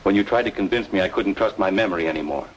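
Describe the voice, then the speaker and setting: A man's voice: low-pitched, racing, muffled recording, a little hiss or hum, natural voice. An actor playing a wronged, obsessive younger man, speaking with insistent intensity in an old-time radio noir drama. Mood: insistent, sardonic. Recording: old radio recording, faint music.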